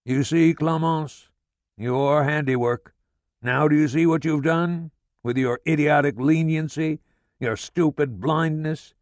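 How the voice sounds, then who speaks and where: A man voicing a furious, foul-mouthed middle-aged father who rants and shouts curses at his family. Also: fairly high pitch, measured pace, dry recording, pitched above the performer's own voice, close to an everyday voice. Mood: furious tirade.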